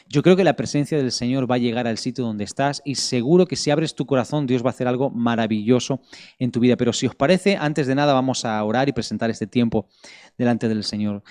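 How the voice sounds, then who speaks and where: A middle-aged man from Barcelona with a Spanish accent from Spain, preaching as a pastor to an online congregation in an earnest, measured way with pauses for emphasis. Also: mid-range voice, a little mellow. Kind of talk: online sermon with prayer and scripture reading.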